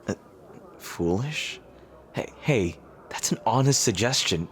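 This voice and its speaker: Casting: male actor as lovestruck rival king, low-pitched, hesitant and sincere, intimate fantasy romance audio drama, noticeable background noise. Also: animated. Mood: flustered. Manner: hesitant, halting, earnest. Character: earnest lovestruck rival king proposing to an enemy knight. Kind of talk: romantic audio roleplay acting, intimate ASMR.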